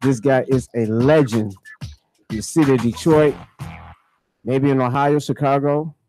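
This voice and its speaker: A man's voice: mid-range voice, steady tone, unhurried, dark tone, faint music behind. An American man hosting a casual radio interview, talking in slangy, hyped-up phrases with lots of repetition. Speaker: radio show host.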